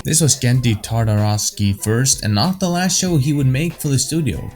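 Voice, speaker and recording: A man's voice: medium pitch, normal speed, a little mellow, soft background music. A young man whose first language is Icelandic, reading scripted commentary in a blunt, opinionated way with casual swearing. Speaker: cartoon retrospective host.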